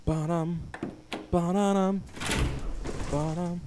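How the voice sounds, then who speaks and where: A man's voice: sits high, fairly even intonation, slow pace, mellow, a little hiss or hum. A man co-hosting a game playthrough, casually guiding his partner with teasing, profane banter. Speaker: guiding let's-play co-host. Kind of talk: casual let's-play banter. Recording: game music underneath.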